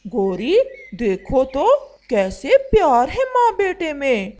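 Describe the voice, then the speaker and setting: A woman voicing a scheming male crow husband in a cartoon story, sly, drawn-out and eager to please. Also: high voice, sing-song, mellow, full character voice. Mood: amused.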